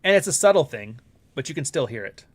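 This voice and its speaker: A middle-aged Canadian man hosts a live stream in loose, rambling chat. He talks bluntly and swears freely, with a Canadian accent and an ordinary mid-pitched voice. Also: very animated, quick pace. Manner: blunt and profane, rambling asides. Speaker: livestream host.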